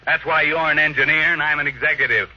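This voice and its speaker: An actor playing a ruthless, imperious older millionaire in a 1950s radio drama, brusque and commanding. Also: male voice, a little high, fairly even intonation, fast talker, bright, forceful tone. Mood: ruthless, curt. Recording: lo-fi old radio broadcast.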